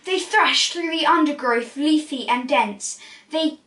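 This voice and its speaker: A woman's voice: high voice, a little slow, sharp tone, slight background noise. A woman reading a storybook aloud expressively for young children. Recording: roomy echo, distant from mic.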